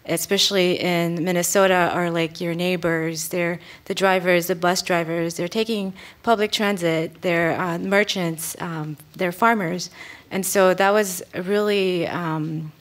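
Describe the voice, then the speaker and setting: Low-voiced woman from France, earnest. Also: fairly even intonation, a little slow. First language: French. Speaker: panelist artist and community organizer. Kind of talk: panel talk. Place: stage at an indoor arts venue. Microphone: table microphone.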